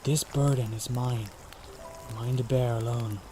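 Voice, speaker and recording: A man playing a young knight in a fanmade audiodrama, quiet and dutiful, speaking in a subdued, earnest, measured way. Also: mid-range voice, fairly even intonation, music in the background, lightly acted. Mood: burdened, resolute. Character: young male knight, quiet, burdened, dutiful, gently caring. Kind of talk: audiodrama character acting.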